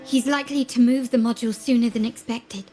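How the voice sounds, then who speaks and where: An actress playing a composed, determined young heiress agent in a video game, speaking in a measured, formal and deliberate way. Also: female voice, fairly high pitch, fairly even intonation, dark tone, faint music behind, natural voice. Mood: resolute.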